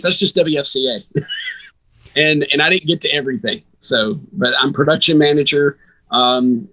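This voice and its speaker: A man with a bright, forceful voice speaks at a middle pitch. He sounds like a sports broadcaster being interviewed, explaining things in a conversational way. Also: poor audio. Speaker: guest, radio sports broadcaster.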